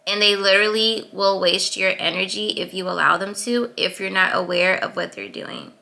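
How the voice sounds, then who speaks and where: Sweet-voiced young woman, gravelly edge. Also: fairly even intonation, normal speed, bright, forceful tone. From Atlanta, US. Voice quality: high-pitched sweet voice. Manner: assertive, chatty, laughs between points. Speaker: YouTuber. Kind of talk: casual advice vlog.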